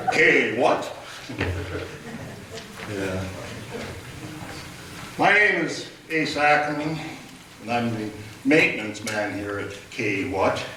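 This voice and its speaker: A man's voice: mid-range voice, very animated, drawn-out words, pauses often, bright, forceful tone, slight background noise, heavily acted. An actor playing a self-pitying male radio station janitor who grumbles and cracks jokes in a live radio play, recorded from a distance in an echoey hall. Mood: mopey. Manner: sulky, wisecracking.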